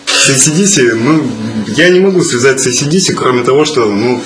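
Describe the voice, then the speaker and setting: A man's voice: mid-range voice, expressive, brisk. Guy early 30s chatting, dry irony, puts himself down, roomy noisy recording. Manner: ironic, self-deprecating. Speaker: musician guest answering questions. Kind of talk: casual group discussion. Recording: echoey room with clear background noise.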